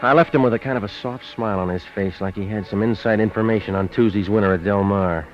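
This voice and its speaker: Male actor for laconic American gumshoe, radio noir. Deep, wisecracking, with dull vintage sound. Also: fast talker, music in the background, close to an everyday voice. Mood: wry. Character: hard-boiled, wry American private eye. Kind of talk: 1940s radio noir dialogue and narration.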